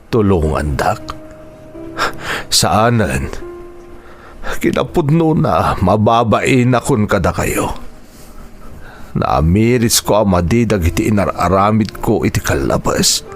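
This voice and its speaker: An actor with an Ilocano accent playing an ailing, remorseful older man in a radio drama. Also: on the low side.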